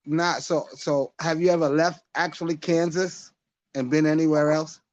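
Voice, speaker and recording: A man's voice: fairly high pitch, steady tone, normal speed, darkish tone, no echo, muffled recording. A man from New York hosting a casual live-stream podcast, rambling and interrupting himself, with frequent laughs.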